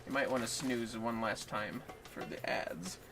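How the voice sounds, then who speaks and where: A man's voice: medium pitch, unhurried. A man chatting casually on a gaming livestream, joking and swearing as he plays. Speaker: game streamer. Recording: music behind the voice.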